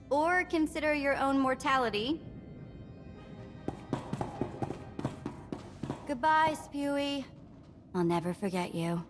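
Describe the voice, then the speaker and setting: Perky, high-voiced sidekick. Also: female voice, big-room sound. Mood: nervous, joking. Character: perky, joking female sidekick on a heist. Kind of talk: video game cutscene acting.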